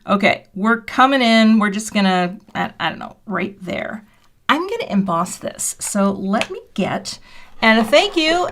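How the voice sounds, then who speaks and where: A woman's voice: on the high side, fairly bright tone. Chatty fifty-something woman crafting live. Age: 50s. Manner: chatty and self-deprecating, muttering half-whispered asides while working. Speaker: card-making livestream host. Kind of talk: casual crafting livestream chat. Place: home craft room.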